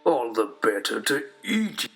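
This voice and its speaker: A man's voice: medium pitch, sing-song, a little slow, bright, forceful tone, faint music behind, exaggerated voice. A man voicing a clever, sly wolf who feigns politeness in a storybook tale. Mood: sly menace. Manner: smooth-talking, feigned curiosity.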